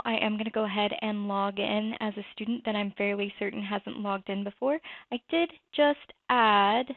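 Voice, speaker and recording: A woman presenting a software walkthrough, explaining each step in a conversational way. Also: medium pitch, gravelly, dry recording. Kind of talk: screen-recorded software walkthrough. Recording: tinny phone-like dry audio.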